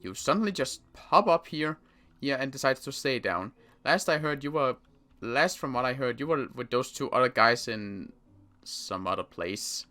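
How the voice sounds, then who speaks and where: Bright, animated man as an inquisitive survivor. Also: medium pitch, moderate pace. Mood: suspicious, probing. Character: inquisitive, wary male survivor in a zombie world. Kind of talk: improvised roleplay dialogue.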